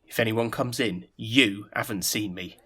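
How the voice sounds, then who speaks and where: A man's voice: lowish voice, a little slow, sharp tone, husky, exaggerated voice. A man playing an edgy, evasive young man on the run in an audio play, talking in blunt, clipped, street-wise lines. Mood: pushy, guarded.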